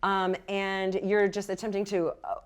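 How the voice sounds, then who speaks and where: A woman's voice: medium pitch, little pitch movement, a little slow. Female game master, playful and encouraging, improvising scenes and explaining dice rules. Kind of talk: improvised tabletop game narration and rules talk.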